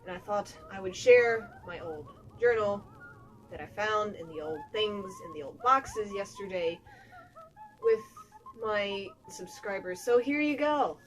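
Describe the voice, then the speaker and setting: A young woman giving a mock-dramatic, deliberately hammy reading for her video audience, with drawn-out emphasis. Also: fairly high pitch, huge pitch swings, a little hiss or hum, rough recording. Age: young adult. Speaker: video creator presenting to subscribers.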